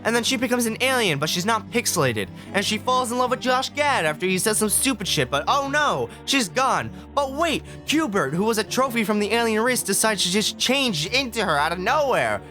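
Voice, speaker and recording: A man's voice: sits high, very animated, moderate pace, few pauses, pushed and bright, soft background music. A young man giving casual, scripted video review commentary in a sarcastic, sweary manner. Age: young adult. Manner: sarcastic, profane. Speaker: video essay host, film student.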